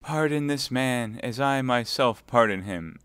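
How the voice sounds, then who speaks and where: A man's voice: mid-range voice, expressive, a little slow, a bit bright, no room sound, pitched above the performer's own voice. A man reading aloud voices a scheming captive noblewoman who pleads and prays in a tearful, quavering tone. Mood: prayerful.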